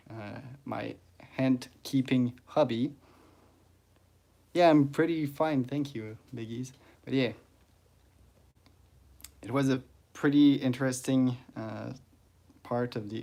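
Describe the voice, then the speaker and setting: Slow, halting French-accented man. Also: mid-range voice, a little mellow. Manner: halting, many "uh"s and restarts, greets chat viewers. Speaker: ant-keeping livestreamer. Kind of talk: casual livestream chat.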